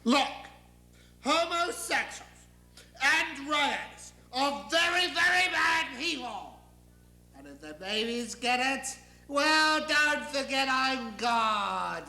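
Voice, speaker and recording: A man's voice: very high-pitched, expressive, slow, bright, forceful tone, voice pushed up, full character voice. A man voicing a petulant, vindictive, whiny God who blusters imperiously, in a satirical stage monologue. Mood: petulant, indignant. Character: petulant, bellowing, vindictive God, male, lazily all-powerful. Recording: echoey room.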